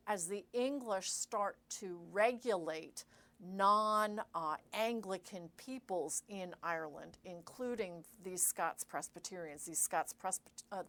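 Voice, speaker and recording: A middle-aged woman historian answering interview questions in a measured way, pausing with fillers and correcting herself as she explains. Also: fairly low pitch, a bit bright. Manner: measured, thinks aloud with fillers and self-corrections. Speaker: historian guest.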